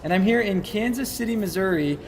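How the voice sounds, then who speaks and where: A man hosting a podcast in energetic, joking casual chat with frequent restarts. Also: high voice, a little fast. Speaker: podcast host.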